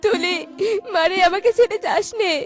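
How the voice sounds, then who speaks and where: A woman's voice: extremely high pitch, normal speed. A woman playing a distraught, bitter village mother who wails her laments in a cartoon. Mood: wailing. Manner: wailing laments. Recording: music behind the voice.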